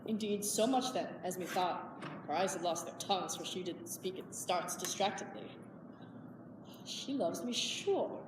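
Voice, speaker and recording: A woman's voice: on the low side, measured pace, natural voice. A woman performing Shakespearean verse as a young woman disguised as a man, speaking in a wondering, lyrical way. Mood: bewildered. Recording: echoey hall from far off, background noise.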